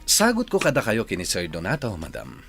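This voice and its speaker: A man's voice: lowish voice, wildly swooping pitch, a little fast, a bit bright, heavily acted. A man playing a rich, courteous and ardent young suitor in a Filipino radio drama.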